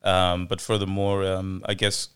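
A man with a very deep, smooth voice muses aloud in a casual podcast chat. He hesitates often, with many "um"s and "uh"s, and turns his thoughts into questions for the others. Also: monotone, unhurried, a bit bright. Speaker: podcast co-host.

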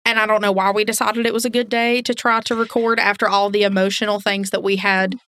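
A woman's voice: mid-range voice, level tone, quick pace, pushed and bright, no echo. A woman co-hosting a podcast in an informal, candid, chatty way. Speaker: podcast co-host. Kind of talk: casual true crime podcast chat.

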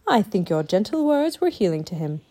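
A woman's voice: medium pitch, very animated, a little fast, mellow. A woman voicing a cocksure boy adventurer in a storybook, with old-fashioned, grand phrasing. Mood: confident.

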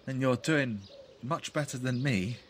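A woman's voice: very deep voice, a little slow, a little hiss or hum. A woman in an audio play acting a timid, mother-smothered grown son, hesitant, stammering and nervous. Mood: awkward.

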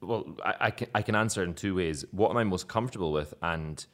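A man's voice: low voice, fairly quick, a bit bright. A young Scottish man, an actor being interviewed, chatting casually and self-deprecatingly, laughing often. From Scotland.

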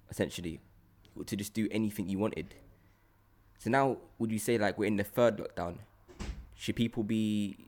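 A man with a fairly low voice and a London accent hosts a relaxed, conversational podcast. He speaks in informal slang and often repeats phrases to make his point. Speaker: podcast host. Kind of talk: casual chat.

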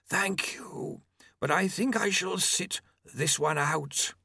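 Guy doing snooty apocalypse horseman, high airy drawl, fussy n superior, audiobook. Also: takes it slowly, gravelly, pushed and bright, slightly rough, no room sound, clean studio sound, exaggerated voice. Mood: disdainful, airy. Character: Famine, arrogant, languid male horseman of the apocalypse.

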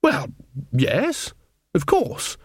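Man as an earnest, flustered young witch, high-pitched. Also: wildly swooping pitch, very slow, breathy edge, no room sound, clean studio sound, heavily acted. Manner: hesitant and plaintive, trailing off.

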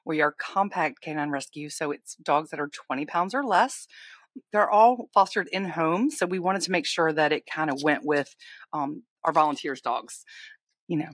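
A woman's voice: low-pitched, moderate pace, a bit bright, dry recording. A woman co-hosting a casual chat, talking in a friendly, chatty way. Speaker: podcast co-host, lender, rescue founder.

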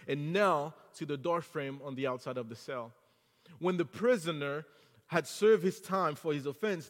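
A man's voice: fairly high pitch, in a large hall. A man from Haiti with a French accent, preaching an earnest sermon to a congregation that builds to fervent exhortation. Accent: French-accented English. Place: church sanctuary.

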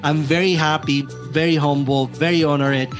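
A man hosting his podcast from his basement, talking in a lively, chatty way with small restarts. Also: on the high side, moderate pace, music in the background. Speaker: podcast host. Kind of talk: enthusiastic podcast introduction and interview. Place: home basement.

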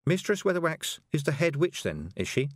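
A man's voice: on the high side, big pitch swings, moderate pace, dry recording, clean studio sound, pitched above the performer's own voice. A man doing an earnest young girl witch. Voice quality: earnest, questioning, a bit sarcastic.

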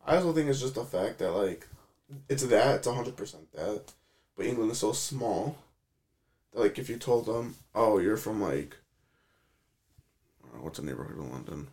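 A man's voice: fairly low pitch, expressive, moderate pace, long pauses, darkish tone. Chatty man, argues his point. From Chicago, USA. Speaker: podcast co-host. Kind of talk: casual chat.

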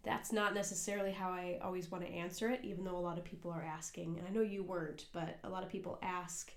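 A woman's voice: on the low side, fairly even intonation, quick pace. Earnest American woman in an echoey room. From United States. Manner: earnest, rambling with many fillers and restarts. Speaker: podcast co-host and cafe worker. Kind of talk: casual conversational podcast.

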